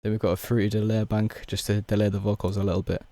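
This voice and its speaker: Young male producer, hoarse, low and mellow, monotone tutorial read. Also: fast talker, no room sound. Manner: laid-back, explains step by step with many fillers. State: hoarse, losing his voice. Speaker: music producer. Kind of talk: casual software tutorial.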